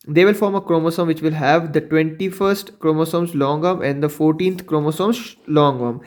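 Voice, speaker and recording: A man's voice: on the high side, darkish tone. A man lecturing in an explanatory science lesson, didactic, posing questions and then answering them himself. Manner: didactic, asks and answers his own questions.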